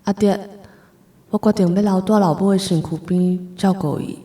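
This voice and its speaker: An actress playing a devoted, sorrowful young nursing student in a Taiwanese radio drama, with a Taiwanese accent. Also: female voice, medium pitch, fairly even intonation, moderate pace, warm tone, roomy sound, natural voice. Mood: dutiful resolve.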